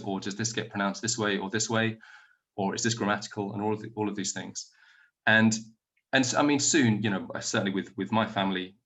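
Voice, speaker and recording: A man's voice: on the low side, a bit bright. A man, from the UK, giving an informal online conference talk about language teaching, full of restarts and fillers. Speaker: conference plenary speaker.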